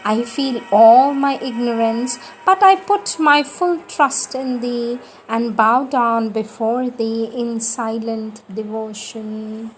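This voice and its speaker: A woman reading devotional prayers aloud in a measured, reverent and prayerful manner, as for an audiobook. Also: on the high side, a little hiss or hum, low-quality recording.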